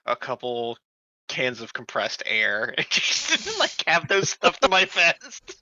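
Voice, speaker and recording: A woman's voice: a bass voice, huge pitch swings, slow pace, pushed and bright, dry recording, muffled recording. A woman playing a tabletop roleplaying game, chatting casually with the group. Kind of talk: casual chat.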